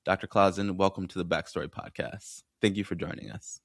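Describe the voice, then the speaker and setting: Low-pitched man hosting a podcast. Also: steady tone, brisk, creaky. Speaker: podcast host, physician. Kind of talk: conversational podcast interviewing.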